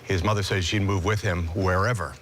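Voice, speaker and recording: A man's voice: sits low, steady tone, brisk. American male TV reporter, noisy background. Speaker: TV news reporter. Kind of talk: live news report. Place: TV studio.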